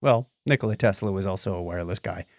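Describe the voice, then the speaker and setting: A man's voice: low voice, fast talker, darkish tone, dry recording, studio quality. A man hosting a podcast, casually bantering and reading out odd news stories with jokey asides. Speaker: podcast host.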